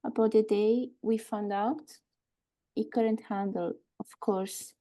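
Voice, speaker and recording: A woman playing a bitter, grieving wife and mother in a screenplay table read, telling her story in a halting, wry way. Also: mid-range voice, steady tone, mellow, natural voice.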